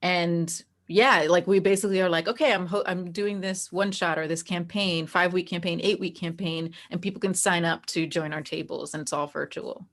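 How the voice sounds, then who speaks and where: A woman talking casually as an interview guest about her work as a game master, telling it in an animated, conversational way. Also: fairly low pitch, moderate pace, hardly any pauses. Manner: animated storytelling that acts out quoted dialogue, frequent "like".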